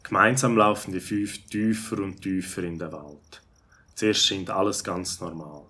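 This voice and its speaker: A man's voice: deep voice, steady tone, measured pace, sharp tone, slightly rough. A man narrating a scripted children's story.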